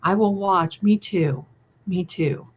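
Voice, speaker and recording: Woman doing a casual livestream, really slow and scattered, gushy with endearments, kinda muffled lo-fi sound. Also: fairly low pitch, breathy edge. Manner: affectionate, effusive, addressing listeners with endearments. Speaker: livestream host and creator. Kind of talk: casual livestream chat with viewers. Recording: dull, lo-fi livestream audio.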